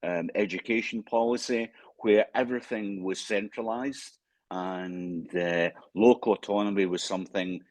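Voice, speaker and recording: Deep-voiced Scottish man on a panel. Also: dry recording. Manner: halting, frequent fillers and restarts. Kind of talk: conference panel remarks.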